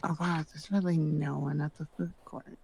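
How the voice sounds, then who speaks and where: A woman's voice: sits low, fairly even intonation, moderate pace, creaky, darkish tone, a little hiss or hum. A woman playing a friendly, chatty character in a roleplay game, who talks casually and politely. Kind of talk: improvised roleplay chat.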